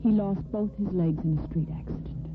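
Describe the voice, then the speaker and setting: A woman's voice: lowish voice, a little fast, lightly acted. An actress playing an earnest, determined, tender-hearted woman in an old-time radio drama, with dramatic delivery. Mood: pleading, determined. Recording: muffled vintage radio recording.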